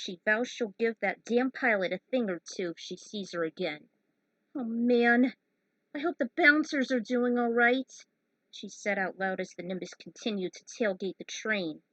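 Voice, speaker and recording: A woman narrates a story in an informal way, mixing reading with chatty commentary. Her voice sits at an ordinary pitch. The sound is dry and close, with no room around it. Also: a bit bright, no room sound, rough recording. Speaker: fan fiction narrator. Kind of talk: story narration with casual asides.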